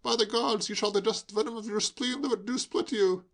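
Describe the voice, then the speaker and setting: Tipsy exuberant young gentleman, voiced by a male narrator, pitched high, booming theatrical delivery. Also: fairly even intonation, fairly quick. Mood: jubilant, theatrical. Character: drunk, jubilant young man quoting Shakespeare.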